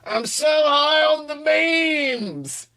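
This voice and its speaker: A man playing a drunk fan in his twenties with slurred, drawn-out speech. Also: extremely high pitch, lively intonation, vocal fry, sharp tone, pitched above the performer's own voice, full character voice. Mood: drunk, giddy. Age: 20s. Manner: drawn-out, stoned-sounding delivery.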